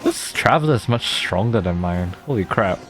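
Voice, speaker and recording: A man's voice: on the low side, animated, normal speed, fairly bright tone. A man giving casual gameplay commentary as a streamer, reacting in a slangy, exclamatory way. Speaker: streamer playing and reacting to a game. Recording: game music faintly behind.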